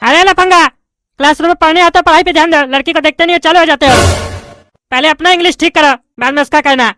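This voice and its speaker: A woman's voice: sits high, fast, fairly bright tone, slight background noise. A woman voicing a brash, touchy bald male politician who argues indignantly, with a lilt. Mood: scolding. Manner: argumentative, indignant, bossy. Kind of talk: cartoon comedy voice acting.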